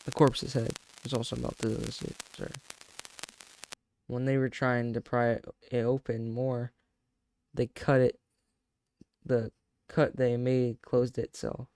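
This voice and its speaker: A man's voice: medium pitch, level tone, slow pace, gravelly, long pauses, a little mellow, slight background noise. A man reading a horror story aloud in a casual, stumbling way, breaking off to react. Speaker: video creator reacting and narrating.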